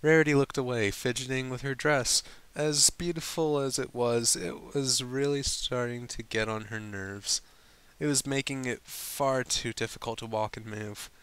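A man reading fan fiction aloud as a storybook narrator, with some halting stumbles over the lines. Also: mid-range voice, fairly even intonation, a bit bright, smooth.

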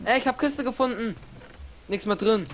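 A woman's voice: a little high, moderate pace, a little hiss or hum. A young woman playing a game with friends, calling out short directions over and over in a playful way. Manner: repeats short calls, playful. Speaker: Let's Play gamer. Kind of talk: casual gaming chat.

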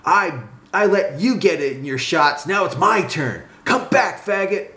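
A man voicing a furious brawler in his fifties in a story, shouting mocking taunts. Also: mid-range voice, sing-song, measured pace. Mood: taunting, shouting. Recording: roomy echo, some background noise.

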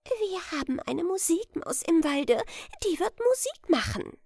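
Woman voicing a high-pitched, brisk storybook mouse. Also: sing-song, darkish tone, no echo, studio quality, exaggerated voice. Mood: proud. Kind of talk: storybook character voice.

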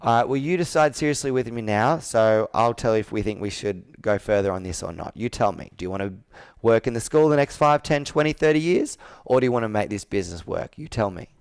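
A man's voice: on the low side, brisk, clear, resonant tone, no room sound, studio quality. A man in his thirties working as a sales coach, asking direct, probing, persuasive questions in a conversational roleplay on a video call. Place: indoor room with whiteboard, on a video call.

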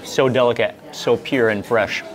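A man in his thirties excitedly narrating a seafood meal for a food vlog, savoring each bite with hums and exclamations, recorded on a small clip mic in a restaurant. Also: mid-range voice, normal speed. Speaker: food vlogger. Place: restaurant dining room and outdoor grill. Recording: busy restaurant crowd behind. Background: restaurant crowd chatter.

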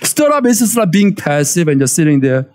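A man in his forties with a Korean accent, preaching a lively sermon from a church stage and calling for amens. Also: fairly high pitch, fairly quick. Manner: animated, emphatic preaching with call-and-response. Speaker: pastor.

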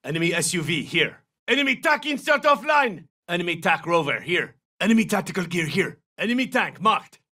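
A tough video game soldier with a high, hugely varied pitch. He delivers terse tactical callouts in a clipped, commanding way. The sound sits a little distant from the mic. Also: sing-song, fairly quick, hardly any pauses, sharp tone, exaggerated voice. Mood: terse, focused. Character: tough, terse male special forces soldier. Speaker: video game operator voice actor. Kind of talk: military shooter game callouts, short barked lines.

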